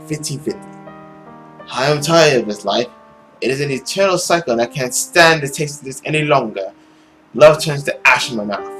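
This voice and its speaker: A man's voice: medium pitch, close to an everyday voice. A man playing a world-weary immortal demon who poses as a nobleman, musing theatrically through his journal in a visual novel. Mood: weary despair. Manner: brooding, musing, theatrical diary reading.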